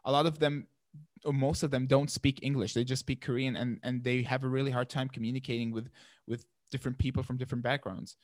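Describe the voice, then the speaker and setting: A young man from Palestine, in his twenties, talks through an easygoing interview, stumbling over and repeating his words and laughing between points. His voice sits at an ordinary middle pitch. The sound is close and dry, with no room around it. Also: level tone, fast talker, no echo. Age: 20s. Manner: many restarts and repeated words, laughs often. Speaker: guest. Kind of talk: casual interview chat.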